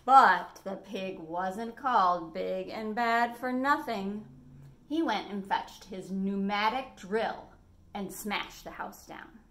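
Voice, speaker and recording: A middle-aged woman reads a children's picture book as a storytime host. Her voice sits at a medium pitch, and she tells the tale expressively, leaning on key words. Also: slow, slight background noise. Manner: animated storytelling with dramatic emphasis. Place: living room at home, indoors.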